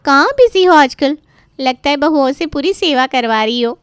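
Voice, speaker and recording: Woman doing a chatty daughter fussing over mom, high clean voice, cartoon story, dry audio. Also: expressive, moderate pace, no echo. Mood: chatty, concerned. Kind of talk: animated moral story dialogue.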